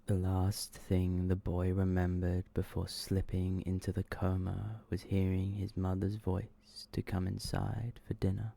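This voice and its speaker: Deep, hushed ASMR man reading horror. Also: monotone, unhurried, vocal fry, few pauses. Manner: hushed, soft-spoken, often slipping toward whisper. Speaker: ASMR host reading short horror stories. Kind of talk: ASMR scripted narration.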